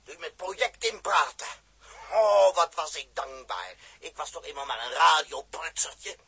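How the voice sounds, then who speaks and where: A raspy, bitter, defiant spaceman. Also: fairly high pitch, expressive, unhurried, pushed and bright, no echo, full character voice. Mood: bitter, scornful. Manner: biting, scornful, theatrical delivery. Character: bitter, defiant, hot-headed male space crewman. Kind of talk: 1960s radio drama acting. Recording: dry old 1960s radio recording.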